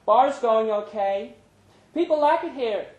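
A man's voice: very high-pitched, lively intonation, unhurried, a little mellow, dull audio, voice pushed up. A man voicing a firm, cool woman who runs a bar, as part of a live story reading. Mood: pleased. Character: Brenda, firm, cool woman bar owner, estranged wife. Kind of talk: dramatic short story reading. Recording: roomy live pub reading.

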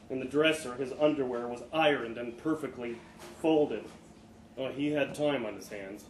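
Male live storyteller, slightly high voice, expressive delivery, roomy venue acoustic with faint noise. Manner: expressive, acts out dialogue. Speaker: reader of a short story. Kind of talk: dramatic live short story reading. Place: live literary event in an indoor venue. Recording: echoey room, live event, background noise.

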